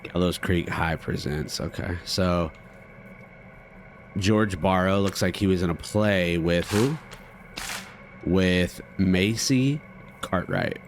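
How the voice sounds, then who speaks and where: A man's voice: low-pitched, slow pace, long pauses, slight background noise. An American man doing casual, slangy game commentary, thinking aloud as he plays. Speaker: gaming streamer.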